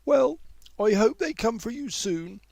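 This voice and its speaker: A man's voice: very high voice, animated, unhurried, vocal fry, darkish tone, no room sound, clean studio sound, pitched above the performer's own voice, full character voice. A man voicing a chatty, fussy older woman making small talk in an audiobook. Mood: chatty, kindly.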